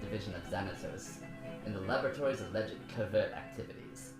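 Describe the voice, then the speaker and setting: A reader voicing a male TV news reporter in a measured news-report delivery. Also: sits low, echoey room, over music, natural voice. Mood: formal.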